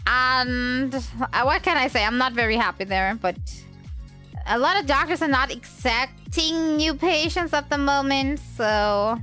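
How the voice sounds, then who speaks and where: A woman's voice: sits high, a little slow, pushed and bright, faint music behind. A woman livestreamer with a slight cold, chatting casually in a hesitant, rambling way.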